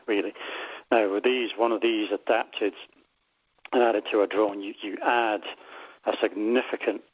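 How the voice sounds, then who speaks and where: A man from Europe speaks in a fairly low voice on a dull conference line. He explains things haltingly, with little room sound around him. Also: no room sound. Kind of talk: conference call discussion. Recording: dull, dry lo-fi call line.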